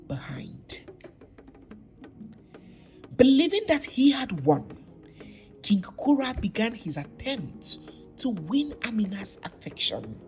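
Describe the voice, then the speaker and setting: A woman narrating a scripted folktale as a storyteller, with deliberate, dramatic phrasing and pauses. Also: on the low side. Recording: music behind the voice. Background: instrumental music.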